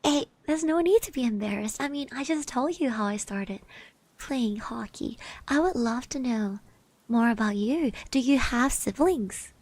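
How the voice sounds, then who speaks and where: A woman voicing a shy, sweet, chatty girl classmate in a soft-spoken, hesitant ASMR roleplay. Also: a little high, lively intonation, a little slow, no echo. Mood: shy curiosity. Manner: hesitant, gentle, refers to herself in third person.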